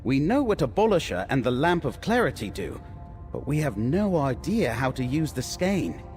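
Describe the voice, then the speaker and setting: An actor playing an earnest, thoughtful scholarly ally in a fantasy game, measured and confiding. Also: male voice, mid-range voice, big pitch swings, a little mellow, natural voice. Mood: thoughtful, resolute. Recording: reverberant space, faint music behind.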